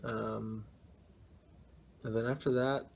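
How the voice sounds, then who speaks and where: A man's voice: on the low side, flat delivery, slow pace, gravelly, a little hiss or hum. A man answering interview questions in a laid-back way, trailing off between thoughts. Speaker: focus group participant.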